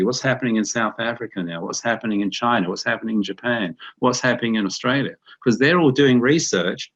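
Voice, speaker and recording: A middle-aged man with a New Zealand accent giving a conversational webinar talk as guest speaker, often restarting and repeating words. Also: mid-range voice. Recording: dull muffled webinar audio.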